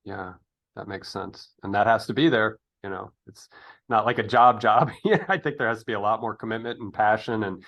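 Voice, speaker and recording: A man's voice: lowish voice, moderate pace, lo-fi sound. A man in his fifties hosting a casual interview, talking in a rambling, tangent-prone way. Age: 50s. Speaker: interview host.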